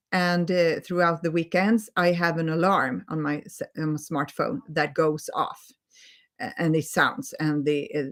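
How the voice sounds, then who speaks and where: Slow, low-voiced Swedish woman coaching. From Sweden. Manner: gentle, earnest, repeats words for emphasis. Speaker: holistic healing coach. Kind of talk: live-stream teaching talk.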